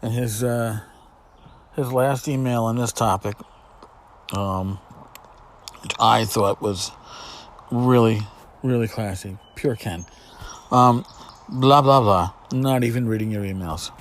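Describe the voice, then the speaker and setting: A middle-aged man hosting a casual solo podcast, talking in a blunt, sarcastic, sweary way with emphatic repetition and pauses for effect. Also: medium pitch. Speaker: podcast host. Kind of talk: casual spoken podcast monologue.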